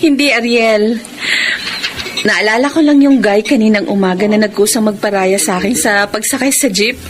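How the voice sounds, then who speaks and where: A woman's voice: mid-range voice, normal speed, background noise, close to an everyday voice. An actress with a Filipino accent playing an emotional young working woman in a radio romance drama. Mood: amused, hesitant.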